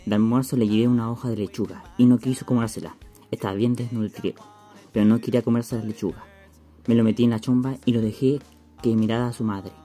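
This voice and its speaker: A man's voice: mid-range voice, steady tone, fast, warm tone, soft background music, close to an everyday voice. A Chilean man playing a stubborn, menacing, slangy schoolboy in a radio play, speaking bluntly. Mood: cold, menacing. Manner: colloquial, blunt.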